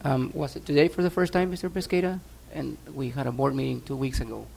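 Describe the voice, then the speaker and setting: A man on a school board speaks earnestly in a meeting, urging and filling pauses with "um" and "uh". His voice is gravelly and his pitch stays flat, at a middle level. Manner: earnest, urging, with frequent fillers.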